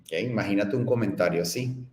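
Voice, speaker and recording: A man's voice: lowish voice, a little fast, darkish tone, reverberant. A man with a Venezuelan accent, a guest in an online panel, speaking earnestly and encouragingly.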